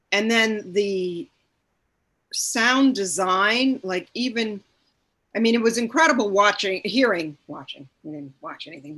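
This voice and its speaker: Slow, halting woman thinking aloud. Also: medium pitch, fairly bright tone. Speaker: actor in an audio play cast. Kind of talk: casual panel chat.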